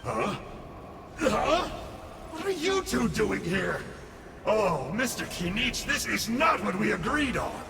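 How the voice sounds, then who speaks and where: A man's voice: high-pitched, very animated, takes it slowly, gravelly, big-room sound, background noise, heavily acted. A man voicing a smug, sly scholar villain in a video game, with a theatrical, mocking, flippant delivery. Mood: mock-wounded, flippant.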